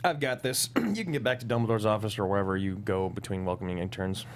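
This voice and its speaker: A man's voice: on the low side, fast talker, a little hiss or hum, close to an everyday voice. A man playing a jaded congressman in his fifties, dry and sardonic, in a comedy table read.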